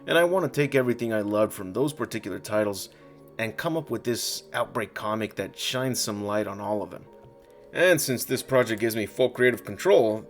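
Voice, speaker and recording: A man narrating his own video as a comic creator, in scripted storytelling and channel talk. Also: fairly low pitch.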